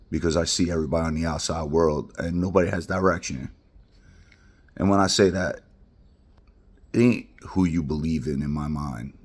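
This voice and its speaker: A man's voice: very deep voice, a little mellow, husky. A middle-aged man from Queens, talking bluntly and heartfelt in a rambling personal monologue. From Queens, New York. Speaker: vlogger.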